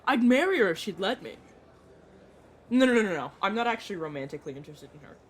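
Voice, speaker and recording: A woman's voice: mid-range voice, big pitch swings, fast talker, close to an everyday voice. Young male vampire mentor, played by a woman, playful, casual, dramatic streak, audio roleplay, faintly noisy recording. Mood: gushing. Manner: teasing, casual, dramatic about food. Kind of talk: audio roleplay acting, addressing the listener directly.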